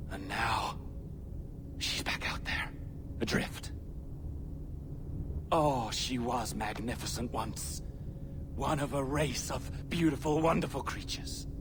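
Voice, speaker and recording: A man's voice: mid-range voice, vocal fry, background noise. An actor playing a devoted, bitter, unhinged male cultist in a video game, theatrical and intimate in delivery. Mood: reverent, scornful. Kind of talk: theatrical game villain monologue.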